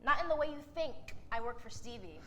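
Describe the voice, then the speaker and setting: A woman's voice: high-pitched, fairly quick, fairly bright tone. A woman playing a sassy, skeptical, feisty young woman in a staged play reading. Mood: defiant.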